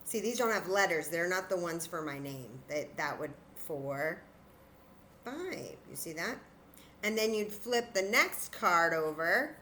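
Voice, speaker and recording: A woman's voice: lowish voice, expressive, unhurried, bright, forceful tone, slight background noise. A woman teaching young children in an interactive demonstration, patient and encouraging, thinking aloud as she goes. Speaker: children's story time teacher.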